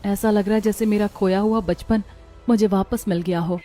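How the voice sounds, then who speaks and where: A woman voicing a gentle, earnest young daughter-in-law in a cartoon, speaking politely. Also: mid-range voice, steady tone, normal speed, soft background music, lightly acted. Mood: moved.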